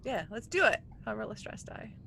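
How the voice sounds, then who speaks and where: A woman chats casually as a player in a tabletop roleplaying game. Her voice swings widely in pitch, with a bright edge and some fry. There is faint noise and clicking behind her. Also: very animated, fairly quick.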